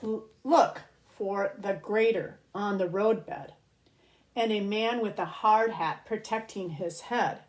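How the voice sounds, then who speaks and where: A woman reads a picture book aloud in the manner of a preschool teacher. Her delivery is slow and expressive, pitched for small children, with an average-pitched voice. She pauses to point things out and explain words simply. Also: low-quality recording. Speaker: pre-kindergarten teacher reading aloud.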